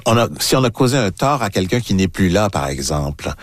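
A man in his fifties in a radio studio, speaking thoughtfully and telling anecdotes in a relaxed conversation. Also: deep voice, a little fast, dry recording. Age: 50s. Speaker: radio commentator and writer. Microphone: studio microphone.

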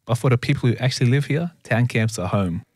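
A man, a radio reporter reading scripted narration in a measured way. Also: medium pitch, animated, a little mellow, dry recording, studio quality.

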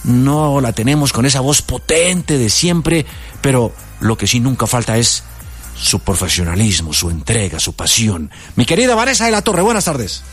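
A man's voice: mid-range voice, sing-song, normal speed, husky, faint music behind. Colombian radio news anchor, man. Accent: Colombian Spanish. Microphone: studio microphone.

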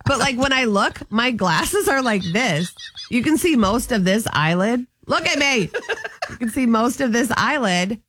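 Animated woman radio co-host. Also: a little high, a little slow, dry recording. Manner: animated, laughing storyteller. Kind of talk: casual morning radio chat.

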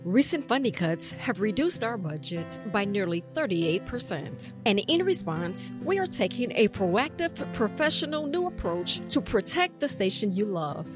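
A woman's voice: medium pitch, big pitch swings, moderate pace, few pauses, over music. A woman reading a scripted public radio station announcement in an earnest, reassuring way.

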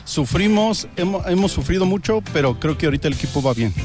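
A man's voice: on the high side, expressive, fast, over music. A man with a Mexican accent giving casual interview answers as a football fan. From Querétaro, Mexico.

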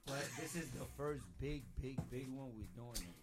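A man's voice: mid-range voice, normal speed. A man as a radio guest, chatting casually in a loose, informal group conversation. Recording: music playing behind the talk.

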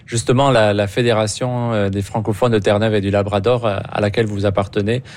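Radio interviewer, male, lower voice, long framing questions. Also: little pitch movement, fast talker, a little mellow.

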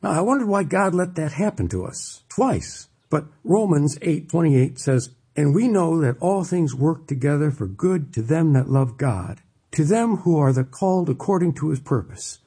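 Creaky, earnest reminiscing older father. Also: on the high side, lively intonation, normal speed, dark tone. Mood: sober reflection. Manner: earnest, emotive storytelling. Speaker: narrator of a dramatized life story. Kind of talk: dramatized first-person narration. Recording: music bed under the voice.

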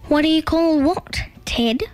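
Woman doing a high, blustering emu lady. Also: measured pace, faint music behind. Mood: indignant. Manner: folksy, blustering, emphatic. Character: bustling, decisive, indignant female emu.

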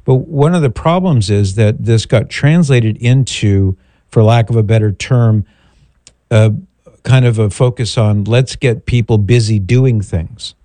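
An older man hosting a call-in radio show, talking in a measured, reflective, pastoral way. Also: mid-range voice, mellow, no room sound. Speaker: radio talk show host.

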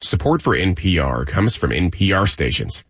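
Male announcer, very deep and husky, lively intonation, dry booth sound. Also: normal speed, no echo. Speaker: sponsor announcer. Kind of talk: read sponsor message.

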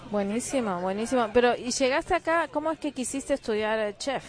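A woman's voice: fairly high pitch, fairly quick. A woman with an Argentine Spanish accent hosting a live radio interview outdoors in a parking lot, chatty and playful. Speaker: radio show host.

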